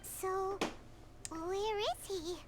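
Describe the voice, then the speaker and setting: A woman voicing a tiny, childlike, excitable fairy companion in animated game dialogue, squeaky and exclamatory. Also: drawn-out words, a little hiss or hum, exaggerated voice. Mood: curious. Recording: game music behind the voice. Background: game background music.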